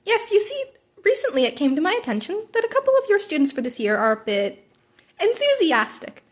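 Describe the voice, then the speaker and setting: A woman's voice: very high-pitched, very animated, brisk, voice pushed up. A woman voicing a gleefully teasing male talking-animal principal in a polite, airy, coy manner for a story reading.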